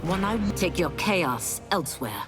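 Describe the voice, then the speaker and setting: A woman's voice: on the low side, big pitch swings, a little slow, loud music behind the voice. A woman playing a defiant, righteous warrior in a video game, with a resolute, formal delivery. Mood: bitter, defiant. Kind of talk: video game cutscene acting.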